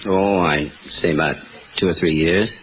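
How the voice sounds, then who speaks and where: Hesitant low-voiced man on old radio. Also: fairly even intonation. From Pennsylvania, United States. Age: late 40s. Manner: hesitant, mild, unhurried. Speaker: quiz show contestant. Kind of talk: 1940s radio interview. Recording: muffled 1940s radio recording, faint noise.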